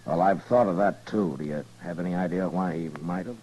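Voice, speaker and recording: Very deep-voiced weary frontier marshal. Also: male voice, darkish tone. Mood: hesitant, probing. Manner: halting, understated, dry. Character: calm, weary, dogged frontier town marshal. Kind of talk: 1950s radio western acting. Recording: noisy vintage radio drama recording.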